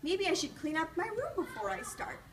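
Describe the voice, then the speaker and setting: A woman reading a children's storybook aloud in an expressive, encouraging way, pausing to ask questions, recorded from a distance. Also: very high voice. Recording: distant mic with faint background noise.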